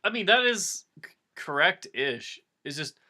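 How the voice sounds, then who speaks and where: Incredulous man with a very bright voice. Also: a little high, big pitch swings, unhurried. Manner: incredulous, stammering, nitpicking. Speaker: co-host commenting on the reading. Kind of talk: casual comic chat.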